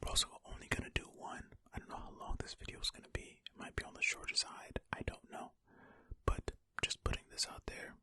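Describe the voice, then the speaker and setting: A fully whispered ASMR voice, breathy and unvoiced, with a bright, crisp edge. The host speaks right up close to the microphone, in a casual way suited to reading stories aloud. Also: a little fast. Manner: casual asides between read-out passages. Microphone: close ear-to-ear ASMR microphone.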